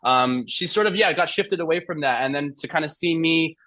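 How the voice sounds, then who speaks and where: A man's voice: a little high, brisk, sharp tone, dry recording. A young man from Iran, an actor guest telling stories casually, rambling with many fillers and restarts. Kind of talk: casual interview storytelling.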